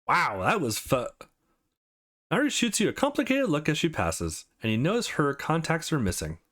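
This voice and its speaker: A man's voice: on the high side, very animated, moderate pace, fairly bright tone. A man hosting a gaming channel, narrating and reading a visual novel aloud in an informal way.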